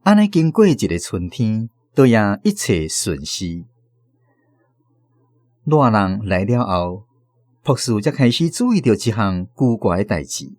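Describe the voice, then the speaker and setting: A man's voice: fairly low pitch, very animated, measured pace, a little mellow, no room sound, studio quality. A man with a Taiwanese accent reading scripted story narration for a radio drama.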